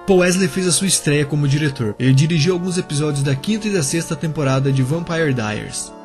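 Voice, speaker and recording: A man's voice: fairly high pitch, lively intonation, brisk, darkish tone. Casual man narrating over music. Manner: casual, addresses viewers directly. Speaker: YouTube channel host. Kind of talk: scripted biography narration.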